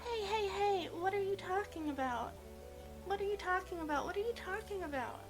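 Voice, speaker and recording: A woman's voice: extremely high pitch, animated, normal speed, over music, pitched above the performer's own voice. A woman voicing a shy, cheerful, easily flustered schoolgirl from a visual novel, with a nervous manner. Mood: panicked.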